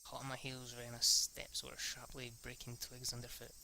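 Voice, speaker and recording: Young male amateur reader, bright tense tone, stumbles on lines. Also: medium pitch, monotone, moderate pace, vocal fry, slight background noise, poor audio. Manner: stumbling over words, restarting lines. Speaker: reader of a visual novel. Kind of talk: amateur read-through narration.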